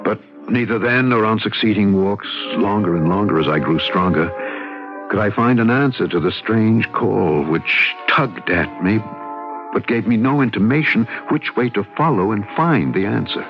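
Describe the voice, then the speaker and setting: A man's voice: fairly low pitch, lively intonation, moderate pace. An actor playing a troubled, melancholy man in an old radio drama, telling his story in brooding, literary phrases. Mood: brooding, reflective. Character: troubled, melancholy man recovering from nervous breakdown. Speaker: actor narrating and playing the lead. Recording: muffled old radio broadcast with music.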